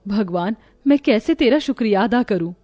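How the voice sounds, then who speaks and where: A woman voicing a worried, devout poor village wife in a cartoon story, speaking softly and pleadingly. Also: fairly high pitch, animated, normal speed, no room sound, studio quality.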